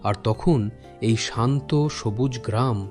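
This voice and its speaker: A man playing a loyal, thoughtful royal minister in a cartoon fairy tale, speaking respectfully in measured, persuasive phrases. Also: medium pitch, fairly even intonation, darkish tone, soft background music, close to an everyday voice. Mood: gently cautioning.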